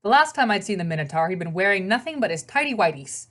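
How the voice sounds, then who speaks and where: A woman's voice: medium pitch, sing-song, fast, fairly bright tone. A woman reading a children's novel aloud as narrator, in scripted storybook narration.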